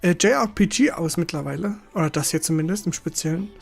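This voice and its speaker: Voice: mid-range voice, quick pace, vocal fry. A young adult streamer giving casual, colloquial, sceptical live reaction commentary. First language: German. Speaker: livestream reactor.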